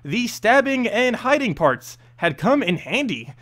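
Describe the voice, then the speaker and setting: A man reading a fantasy story aloud as narrator, expressive, now and then stumbling over a word. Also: very high voice, unhurried. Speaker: host reading game lore story aloud.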